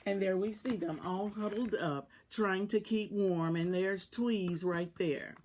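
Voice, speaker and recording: A woman reading a children's storybook aloud, deliberately and in short phrases, as a volunteer reader. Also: medium pitch, a little mellow, a little hiss or hum. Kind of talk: read-aloud children's storybook narration with asides about the pictures.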